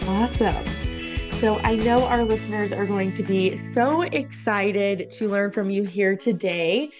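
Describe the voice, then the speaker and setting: A woman's voice: mid-range voice, measured pace, music in the background. A woman hosting a podcast, upbeat and encouraging, with frequent ums. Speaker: podcast host. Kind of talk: casual interview hosting.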